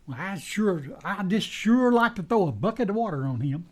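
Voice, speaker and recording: A man's voice: high voice, expressive, moderate pace, darkish tone. An actor playing a folksy, fussy American deputy who is loyal to his marshal, in a western radio play. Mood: exasperated. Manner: folksy rustic drawl, fussy and deferential. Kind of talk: old-time western radio play acting.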